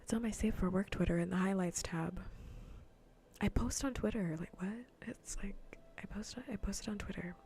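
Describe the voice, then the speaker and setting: A woman livestreamer chatting softly in a breathy, mostly whispered ASMR voice close to the mic, rambling playfully and repeating her phrases. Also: mid-range voice, fairly even intonation, normal speed. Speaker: livestreamer hosting an ASMR gaming stream. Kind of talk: soft ASMR casual chat to viewers. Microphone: close ASMR microphone.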